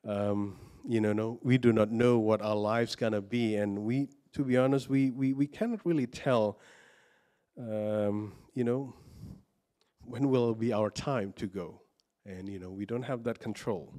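A man's voice: on the low side, measured pace, dark tone. A man from Indonesia giving a sermon, speaking hesitantly with many fillers and restarts, personal and earnest. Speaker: preacher.

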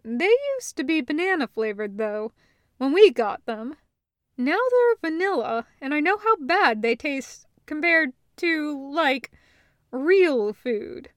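Audiobook role: teasing, affectionate, brash adult sister played by a woman, higher-set voice, dry close sound. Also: lively intonation, slow pace, dry recording. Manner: teasing, casual. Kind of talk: acted dialogue in an audiobook reading.

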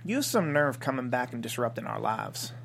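A man's voice: medium pitch, sing-song, fairly quick, vocal fry, a bit bright, slight background noise. A man playing a dry, sarcastic small-town desert lawman in a comedy script table read. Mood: dry scorn.

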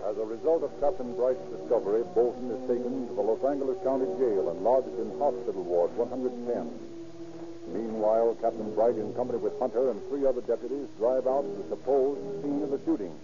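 A man's voice: mid-range voice, brisk, over music. A man narrating a vintage radio crime drama in a formal, factual scripted style. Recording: muffled, very noisy old radio recording.

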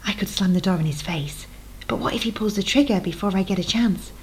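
A woman's voice: medium pitch, a little fast, a little hiss or hum, close to an everyday voice, low-quality recording. A woman voicing a frightened young woman in her twenties who pleads with a gunman, in a book reading. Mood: anxious. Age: 20s. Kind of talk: audiobook reading.

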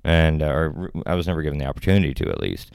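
Very deep gravelly man, podcast guest. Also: big pitch swings, very fast, darkish tone, dry recording, clean studio sound. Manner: laid-back, rambling, laughs often. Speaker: actor and filmmaker guest. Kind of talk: casual podcast chat.

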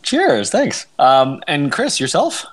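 A male host with a lively, animated voice guides a casual discussion. He is encouraging and asks his guests questions. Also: on the high side, slow.

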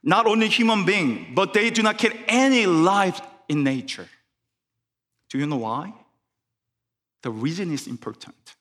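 Korean-accented male guest preacher, slow and careful, slightly high voice, reverberant sanctuary. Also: very animated, a bit bright. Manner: careful, halting English with restarts. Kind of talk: sermon. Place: church sanctuary.

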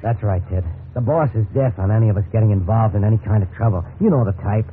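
A man's voice: lowish voice, fast, close to an everyday voice. An actor playing an easygoing but worried middle-aged family man, talking in a folksy, conversational 1950s radio drama style. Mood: eager, deferential. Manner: conversational, reasoning aloud, folksy. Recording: tinny, noisy 1950s radio recording.

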